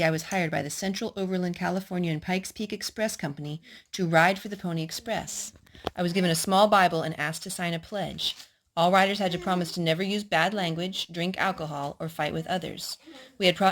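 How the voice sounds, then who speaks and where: A woman's voice: fairly low pitch, slight background noise. A woman hosting a children's storytime, reading picture books aloud and asking little prompting questions in a child-directed way.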